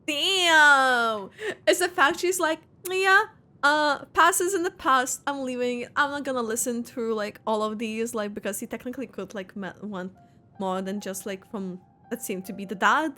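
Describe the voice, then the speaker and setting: A woman's voice: fairly high pitch, moderate pace, pushed and bright. A woman casually reacting to a game on stream, exclaiming and letting thoughts trail off, with music behind. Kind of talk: casual live gameplay reaction.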